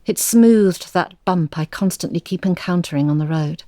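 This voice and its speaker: A tired, resentful older woman in an audio drama, played by an actress. Her voice is lowish and soft-edged, with measured, reflective phrasing that stays firm. The sound is dry and close. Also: no echo, natural voice. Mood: weary, resentful. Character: weary, resentful older mother with thwarted writing dreams.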